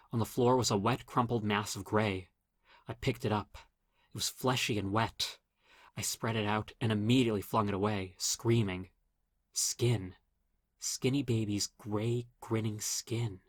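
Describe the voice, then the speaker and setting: A man reading a scripted first-person horror story as narrator, in a dramatic, measured storytelling manner. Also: on the low side, fairly bright tone, no room sound, clean studio sound.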